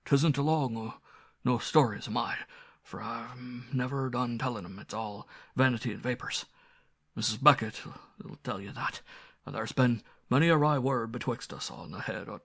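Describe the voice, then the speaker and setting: A man voicing a timid old butler with a rustic English dialect, speaking in a quiet, deferential mumble. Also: mid-range voice, normal speed, husky, no echo, clean studio sound. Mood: defensive. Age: older.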